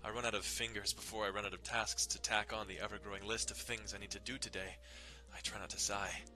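A man's voice: on the low side, level tone, fast, bright, forceful tone, soft background music. A man giving a dramatic reading of a visual novel as its narrator. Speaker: dramatic reader.

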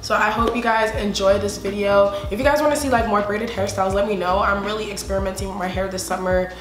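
A woman's voice: mid-range voice, fairly even intonation, fast talker, faint music behind. A young woman in her twenties with a stuffy voice while recovering from a sore throat, chatting to viewers in a hair tutorial vlog from her apartment. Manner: chatty, laughing, addresses viewers directly. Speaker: beauty vlogger. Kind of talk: casual hair tutorial chat. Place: apartment living room.

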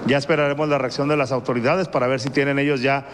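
A man's voice: mid-range voice, fairly even intonation, quick pace, noisy background. A middle-aged man from Mexico, reporting live from a street, speaking off the cuff with fillers and restarts. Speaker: field reporter. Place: outdoors on a residential street. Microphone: handheld mic.

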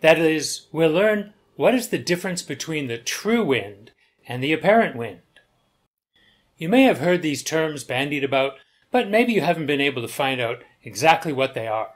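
An older man, a sailing instructor, explaining sailing concepts in calm, measured, scripted narration. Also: on the high side.

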